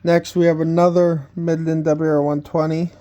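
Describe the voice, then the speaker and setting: A man giving a casual, unscripted home video tour as a hobbyist, speaking plainly and haltingly with pauses mid-sentence. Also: a little high, flat delivery, warm tone, dull audio. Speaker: hobbyist vlogger showing a collection. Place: indoors at home, walking between rooms.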